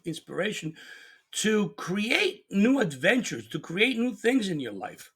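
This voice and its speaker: A man's voice: a little high, creaky, sharp tone. A middle-aged man giving an earnest, emphatic motivational talk as host, sometimes repeating words as he presses a point.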